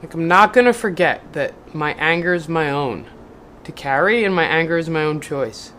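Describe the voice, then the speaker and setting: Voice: mid-range voice, lively intonation, normal speed, a bit bright, slight background noise. Blunt, profane vlogger reading aloud. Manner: blunt and profane, rambling with fillers. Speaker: video maker reading a book aloud. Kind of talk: casual vlog with read-aloud passages.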